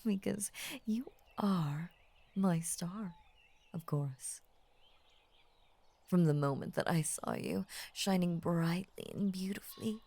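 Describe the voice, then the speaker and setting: Woman acting a slow, husky, whispery lovestruck lamia. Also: fairly low pitch, full character voice. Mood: adoring, intense. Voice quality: breathy, husky, often dropping into whisper. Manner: slow, tender, formal, hushed obsessive devotion. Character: ancient possessive lamia, lovestruck, devoted and murderous. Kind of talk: intimate roleplay audio acting.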